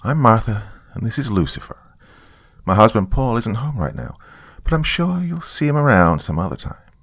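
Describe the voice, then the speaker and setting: A man voicing a gentle, tired mother who explains things in an earnest, apologetic way in a narrated story. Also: on the low side, sing-song, normal speed, no room sound, voice pushed up, heavily acted. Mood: polite.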